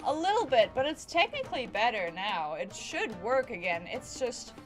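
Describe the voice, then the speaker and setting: A woman's voice: fairly high pitch, big pitch swings, moderate pace. A woman livestreaming a video game, chatting casually and playfully to the game and her viewers. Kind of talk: casual gameplay commentary and chat. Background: video game music.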